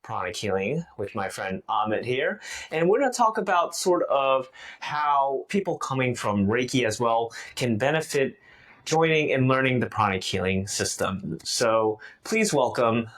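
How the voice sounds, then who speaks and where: A man's voice: mid-range voice, animated, a little slow, a bit bright. A man hosting a podcast in person at a guest's home, introducing and questioning his guest in a loose, conversational way. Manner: loose and conversational, with "you know" and "um". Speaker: podcast host. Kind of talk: friendly interview hosting.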